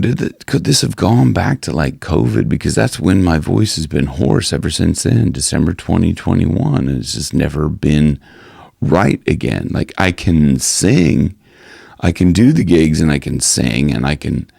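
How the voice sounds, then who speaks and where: A man with an extremely low, gravelly voice talks through a health update in a loose, rambling way, full of restarts. His voice is hoarse. The sound is dry and close. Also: a little mellow, no room sound. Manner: rambling, many restarts and fillers. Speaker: singing coach and vlogger. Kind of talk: casual health update vlog.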